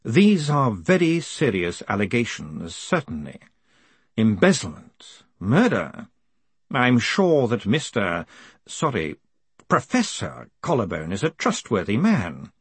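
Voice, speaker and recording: A man voicing a calm, quietly commanding ruler with measured, formal, icily polite speech in an audiobook. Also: fairly low pitch, breathy edge, no echo, heavily acted. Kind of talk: audiobook character acting.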